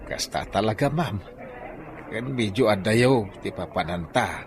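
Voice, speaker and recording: A man's voice: lowish voice, animated, a little slow, a little hiss or hum. A man acting a pushy, chatty but respectful taxi driver in an Ilocano radio drama, with an Ilocano accent. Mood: bargaining.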